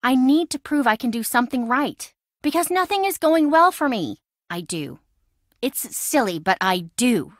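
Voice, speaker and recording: Video game role: an insecure, boy-crazy young woman, dramatic, very high voice with big swings. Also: normal speed, dry recording, studio quality. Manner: dramatic, expressive, emotionally swinging.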